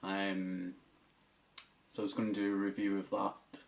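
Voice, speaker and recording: A man's voice: deep voice, completely flat delivery, a little slow, creaky. A British man chatting casually to camera as a YouTuber, in a rambling, tentative way, into a tablet's microphone. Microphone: tablet's built-in microphone.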